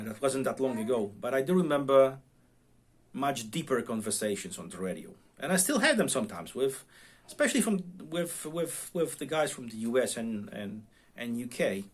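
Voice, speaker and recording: Halting non-native English-speaking man, chatting casually. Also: medium pitch. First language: non-English, self-taught English speaker. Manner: halting, searching for words, repeats word starts. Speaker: amateur radio vlogger. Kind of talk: casual unscripted talk to viewers. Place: home radio room.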